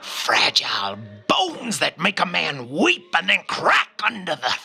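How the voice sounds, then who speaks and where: An actor playing a menacing, theatrical crime boss with a twisted romantic streak, speaking in florid, drawn-out poetic lines in a noir radio play. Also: male voice, on the high side, wildly swooping pitch, vocal fry, sharp tone, heavily acted. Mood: sinister, lovesick.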